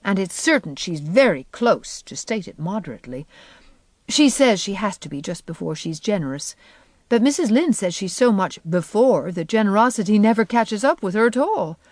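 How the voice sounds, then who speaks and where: Storybook teen friend, dryly gossipy and practical, with animated inflection; a woman reads the part. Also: mid-range voice, normal speed, no room sound, studio quality. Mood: wry. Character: gossipy, matter-of-fact teenage girl. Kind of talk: audiobook character voice.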